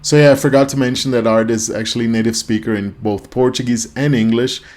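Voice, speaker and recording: A man's voice: medium pitch, fairly even intonation, fast talker, a little mellow. A young man hosting a podcast, reacting in a loose, rambling way with many fillers and restarts. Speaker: podcast host. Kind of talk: casual reaction commentary.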